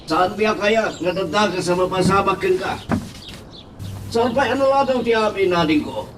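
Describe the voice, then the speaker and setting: A man's voice: sits high, moderate pace, distant mic, noisy background, lightly acted. A man with an Ilocano accent playing a worried older brother pleading with his outlaw sibling in an audio drama.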